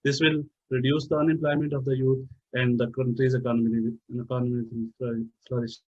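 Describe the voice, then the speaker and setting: Steady man from Kashmir. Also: mid-range voice, brisk, dull audio. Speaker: doctoral student. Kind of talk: prepared policy statement.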